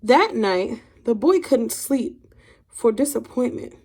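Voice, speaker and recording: A woman with a slightly high voice speaks as a teacher to young pupils. Her intonation is very lively and expressive. The delivery is that of a picture-book read-aloud, clearly aimed at children. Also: slow.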